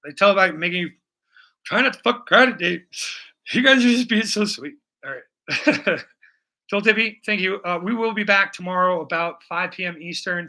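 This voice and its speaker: A man in his forties talks casually to his stream chat while he paints, rambling from story to story with jokes and swearing. His voice sits a little high and sounds sharp and very bright. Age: 40s. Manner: rambling, profane and joking.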